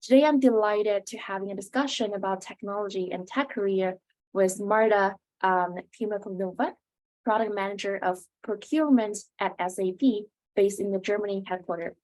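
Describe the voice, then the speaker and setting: A young adult woman with a medium-pitched voice works as an interviewer. She delivers prepared introductions and questions in an organised interview format. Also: normal speed, darkish tone, low-quality recording. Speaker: interviewer, student host.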